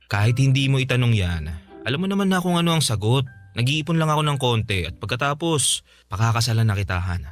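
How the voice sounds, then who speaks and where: An actor playing a devoted young boyfriend in a radio drama, speaking tenderly and sincerely. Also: male voice, mid-range voice, lively intonation, normal speed, natural voice. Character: devoted young live-in boyfriend promising marriage. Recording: faint music behind.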